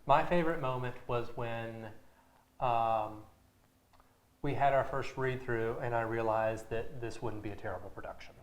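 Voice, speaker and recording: A man's voice: mid-range voice, steady tone, normal speed. Echoey, casual male theatre interviewee. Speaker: theatre team member being interviewed. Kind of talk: casual chat. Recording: roomy echo, faint background noise.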